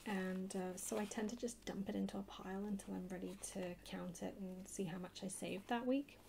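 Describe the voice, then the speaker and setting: Female ASMR haul host, hushed and fry-edged, dropping into whispers, faint noise on a home recording. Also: medium pitch, steady tone, normal speed. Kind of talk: soft-spoken ASMR haul chat. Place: indoors at a table. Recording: noisy close home recording.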